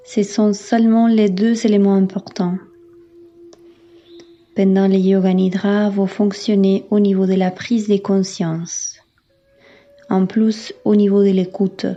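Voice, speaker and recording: A woman yoga instructor leading a guided relaxation in a calm, soothing, unhurried manner with long pauses. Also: medium pitch, highs cut off. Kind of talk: guided meditation, yoga nidra.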